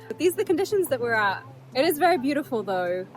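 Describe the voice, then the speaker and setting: A woman's voice: high voice, sing-song, fast, soft background music, poor audio. A young woman with a British accent, talking casually to the camera on a windy beach in a rambling, chatty way. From Netherlands. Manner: chatty, rambling, with fillers and restarts. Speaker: travel vlogger.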